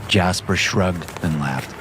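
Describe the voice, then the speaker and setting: A man narrating a scripted horror story in a measured, even way. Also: deep voice. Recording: music bed behind voice.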